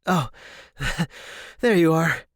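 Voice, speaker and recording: A man voicing an anxious, polite male scholar in an audiobook story, with a flustered, breathy manner. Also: on the high side, lively intonation, extremely slow, fairly bright tone, dry recording, studio quality, pitched above the performer's own voice, exaggerated voice. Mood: relieved.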